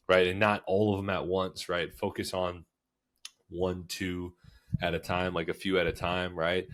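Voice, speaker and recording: A young man co-hosting a casual podcast, nasal and stuffed up from a cold, talking loosely with lots of "like" and "right?". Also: sits low. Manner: relaxed, repeats "like" and "right?" often. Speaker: podcast co-host.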